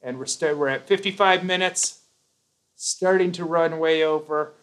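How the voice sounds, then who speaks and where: A man's voice: a little high, moderate pace, fairly bright tone. A man hosting a casual live stream, reading out viewer comments and answering them in a rambling, joking way. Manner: chatty, rambling, self-correcting, jokey.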